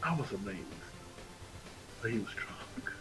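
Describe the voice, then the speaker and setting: A man livestreaming a game and casually telling stories in an animated, slangy way. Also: lowish voice, unhurried, poor audio. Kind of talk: casual chat over gameplay. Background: video game music.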